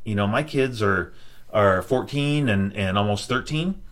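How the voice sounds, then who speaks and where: A man's voice: lowish voice, unhurried, fairly bright tone. Reflective man hosting a podcast. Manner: reflective, frequent restarts and "you know". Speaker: podcast host. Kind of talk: casual conversation.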